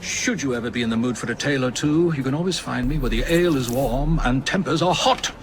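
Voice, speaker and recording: A man's voice: medium pitch, quick pace, close to an everyday voice. A man playing a flustered, genial Victorian English novelist in a video game, florid and exclamatory. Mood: jaunty. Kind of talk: video game cutscene acting. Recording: clear background noise.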